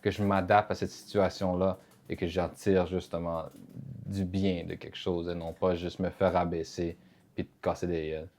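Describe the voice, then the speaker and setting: A man's voice: sits low, flat delivery, moderate pace, a little mellow. A man from Quebec with a Québécois French accent, talking earnestly as a podcast host in a casual conversation and slipping in English words. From Quebec, Canada.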